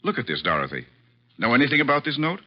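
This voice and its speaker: An actor playing a formal, hesitant and evasive middle-aged master of the house in a 1950s radio drama. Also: male voice, medium pitch, big pitch swings, fast talker. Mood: guarded. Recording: muffled old radio broadcast.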